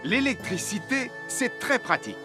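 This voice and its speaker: A friendly fireman from a children's cartoon. His voice sits high and swings widely as he patiently explains safety rules. Music plays clearly underneath. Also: big pitch swings, normal speed. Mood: instructive, upbeat. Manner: clear, didactic, addresses children directly. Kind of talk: animated, instructive cartoon narration. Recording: music behind the voice.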